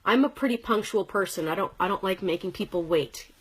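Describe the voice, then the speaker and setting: A woman's voice: medium pitch, fairly quick, breathy edge, poor audio. A woman in her thirties from Canada, talking casually from a corner of her living room in a livestream, upbeat and chatty, greeting commenters by name and sharing her story as a sales presenter. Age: 30s. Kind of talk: casual livestream talk to viewers.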